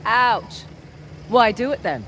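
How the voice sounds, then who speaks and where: A woman's voice: fairly high pitch, animated, takes it slowly, background noise. An actress playing a wry, teasing woman treasure hunter with an Australian accent in a video game, speaking casually and naturally.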